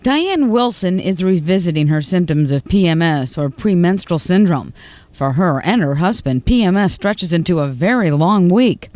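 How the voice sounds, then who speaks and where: Low, dry woman reporter voice-over. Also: animated, moderate pace, darkish tone, no room sound. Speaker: news reporter. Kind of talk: scripted news package narration.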